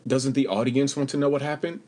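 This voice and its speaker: A man's voice: medium pitch, fairly even intonation, a little fast, mellow, close to an everyday voice. An actor playing an earnest, plucky young male understudy in a radio play. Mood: anxious.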